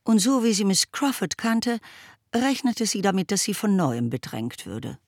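Sehr langsam erzählende Frauenstimme im Hörspiel. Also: female voice, mid-range voice, big pitch swings, a little slow, dry recording, studio quality. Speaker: narrator. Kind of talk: scripted literary narration in a radio drama.

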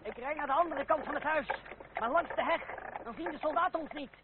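A man's voice: very high-pitched, fairly quick. An actor playing a resolute young man, decisive and urgent, speaking in clipped orders in an old radio drama. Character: brisk, resolute young man leading an escape. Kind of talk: vintage radio drama acting. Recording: noisy, tinny old radio play recording.

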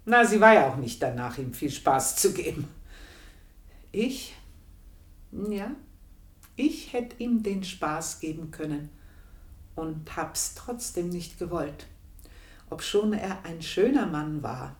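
A woman's voice: sits low, animated, roomy sound. An actress playing an earthy, proud, aging housemaid, confiding her memories in a radio play monologue. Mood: wistful, frank. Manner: confiding, unhurried storytelling with pointed pauses. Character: earthy, proud aging housemaid reminiscing about past desires.

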